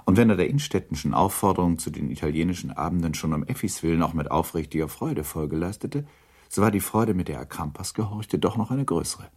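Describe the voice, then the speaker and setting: Deep, husky male narrator. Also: lively intonation, darkish tone. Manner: measured, formal. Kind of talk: literary narration in radio play.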